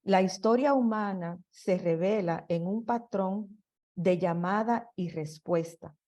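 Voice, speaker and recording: Slow, halting woman giving a lecture. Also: medium pitch, dark tone. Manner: slow and halting, with restarts and fillers. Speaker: presenter. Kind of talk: slide presentation lecture.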